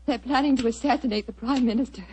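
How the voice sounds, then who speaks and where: A woman's voice: a little high, quick pace, darkish tone, natural voice. A woman playing a fearful, melodramatic society lady in an old 1940s radio drama. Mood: despairing. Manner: melodramatic, emotional. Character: frantic, fearful society lady caught among conspirators. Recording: noisy vintage 1940s radio broadcast.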